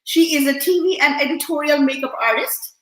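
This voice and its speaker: A woman's voice: high-pitched, brisk, a bit bright. A woman co-hosting an interview show, introducing the guest eagerly. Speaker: co-host. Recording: echoey room.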